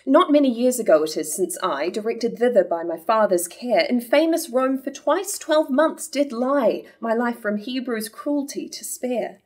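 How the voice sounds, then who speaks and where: A woman playing a measured, thoughtful young nobleman in a staged reading of verse drama. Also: fairly high pitch, lively intonation. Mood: measured, admiring.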